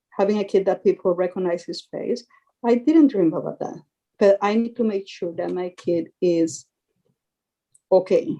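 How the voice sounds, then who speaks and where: Mellow-voiced mother. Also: medium pitch, low-quality recording. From Costa Rica. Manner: earnest, repeats words while searching for them. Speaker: parent of young actors. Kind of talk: panel discussion.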